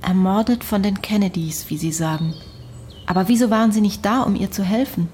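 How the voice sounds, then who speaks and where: A mid-pitched woman, played by an actress questions sceptically in a radio play. Her probing delivery has faint ambient noise behind it. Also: fairly quick, darkish tone, close to an everyday voice. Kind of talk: German radio drama acting.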